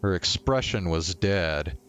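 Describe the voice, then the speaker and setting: A man's voice: low-pitched, expressive, slow pace, fairly bright tone, clear, resonant tone. A male streamer reading a visual novel aloud and talking to viewers as he goes. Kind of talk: live read-aloud narration of a visual novel.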